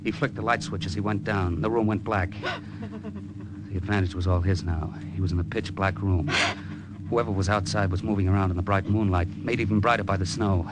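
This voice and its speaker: A man's voice: sits low, steady tone, a little fast, heavy background noise, natural voice. A male actor playing a tough, cynical American hard-boiled hero and narrator in a 1940s radio drama. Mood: tense narrating. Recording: old radio recording with music behind.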